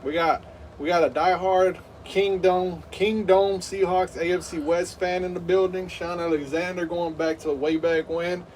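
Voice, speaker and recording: A man's voice: high voice, little pitch movement, unhurried, a little mellow, far from the mic, a little hiss or hum. A man in his thirties hosting a live game stream, rambling with lots of fillers and hyped play-by-play. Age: 30s.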